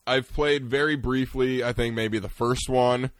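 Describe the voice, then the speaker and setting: Congested guy cohosting a podcast, dry skeptical tone, mid pitch, close dry mic. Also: fairly even intonation, measured pace, no echo. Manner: dry, skeptical, laid-back. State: congested from a cold. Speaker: podcast co-host. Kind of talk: casual podcast banter.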